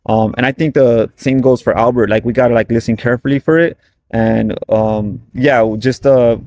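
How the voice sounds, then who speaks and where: A man's voice: mid-range voice, fairly even intonation, normal speed, a little mellow. A man, a band member and native English speaker, talking at length in a casual interview, rambling and correcting himself. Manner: rambling, chatty, self-correcting.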